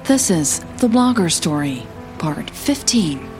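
A woman podcast host reading scripted audio drama narration in a measured, intimate way with deliberate pauses. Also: lowish voice, very animated, darkish tone, soft background music.

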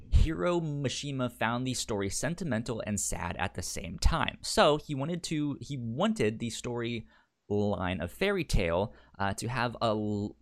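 A man co-hosting a book club podcast, chatting casually and often stammering on the first sound of words. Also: mid-range voice. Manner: stammers on word starts, repeats words. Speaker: podcast co-host.

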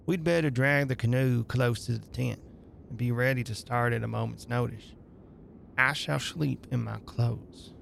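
Guy voicing a plain-spoken practical travel buddy in a spooky audiobook, real gravelly. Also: medium pitch, normal speed, fairly bright tone, a little hiss or hum. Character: practical, jolly, unimaginative male travelling companion.

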